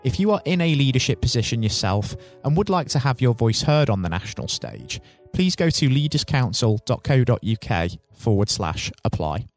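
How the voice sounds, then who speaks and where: A man's voice: medium pitch, no room sound, clean studio sound. A man hosting a leadership podcast, reading a formal introduction and then interviewing his guest. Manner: smooth, formal and polished. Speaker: podcast host.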